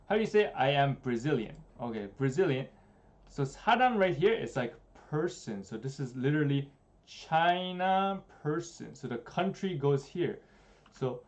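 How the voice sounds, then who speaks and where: A young man in a small room giving a relaxed, chatty livestream language lesson, slowing down to spell out examples. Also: medium pitch. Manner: relaxed, chatty, many fillers, slows down for examples. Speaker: Korean language teacher.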